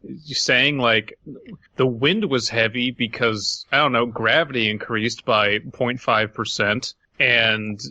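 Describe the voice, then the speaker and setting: A man's voice: mid-range voice, steady tone, takes it slowly, bright, forceful tone, no echo, rough recording. A man co-hosting a podcast, reading and critiquing a horror story in casual, sarcastic banter. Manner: joking, sarcastic. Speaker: podcast co-host.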